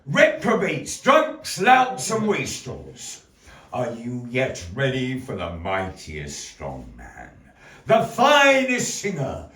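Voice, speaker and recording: Man doing a high, bellowing circus announcer in a roomy echo. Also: heavily acted. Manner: bombastic, declamatory, drawn-out shouts. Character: bellowing theatrical circus announcer rousing a rowdy crowd. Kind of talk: stage puppet show barking. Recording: roomy echo, distant mic.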